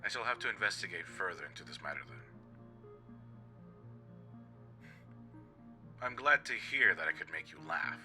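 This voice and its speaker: A man's voice: low-pitched, expressive, quick pace, pushed and bright, faint music behind, full character voice. A man playing an earnest, caring alien spaceship captain in an audio roleplay, speaking in stiff, formal, precise sentences. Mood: earnest, polite. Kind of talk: scripted roleplay audio drama, addressing the listener.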